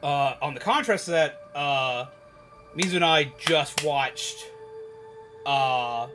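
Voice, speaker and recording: A man livestreaming, chatting casually and rambling to viewers in an animated, emphatic, opinionated way. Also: fairly high pitch, slow pace, music in the background. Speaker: live streamer. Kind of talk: casual rambling chat with viewers while gaming.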